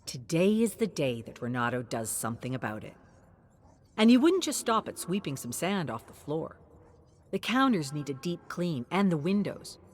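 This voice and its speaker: Low-pitched woman narrating a story, animated intonation. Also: slight background noise. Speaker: narrator of character lore.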